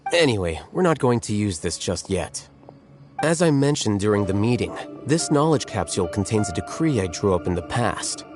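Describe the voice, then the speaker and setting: A composed scholar-strategist, laying out his reasoning in a low, measured, deliberate voice. He sounds patient and precise, like someone used to explaining things. Soft game music sits faintly behind him. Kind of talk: video game dialogue.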